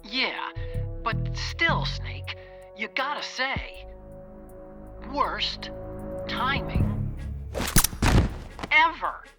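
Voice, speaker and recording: A man's voice: very high-pitched, very animated, extremely slow, long pauses, heavily acted. A man playing an earnest, nerdy tech partner in a video game, fussy and easily exasperated. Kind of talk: dramatic video game voice acting. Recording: music playing behind the voice.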